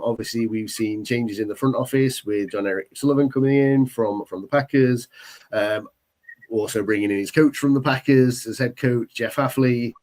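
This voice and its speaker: A man's voice: medium pitch, a little mellow. Congested British man hosting a podcast. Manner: rambling, many ums and restarts. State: congested with a summer cold. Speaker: podcast host. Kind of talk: casual podcast chat.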